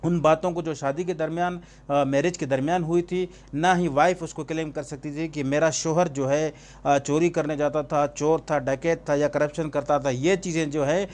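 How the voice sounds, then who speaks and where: A man with a Pakistani accent and a slightly high-pitched voice teaches in an informal lecture style. He keeps putting questions to the listener and answering them himself, slipping English terms into his explanations. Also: steady tone, normal speed, a little mellow. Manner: switches between Urdu and English terms. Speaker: law teacher. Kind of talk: informal exam-prep lecture with rhetorical questions.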